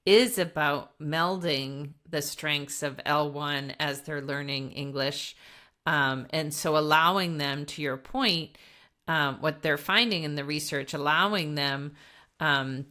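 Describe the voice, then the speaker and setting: Woman host on a teaching podcast, real low voice but crisp and bright, keeps recapping what the guest said. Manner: affirming, summarizes the guest's points. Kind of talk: casual podcast interview.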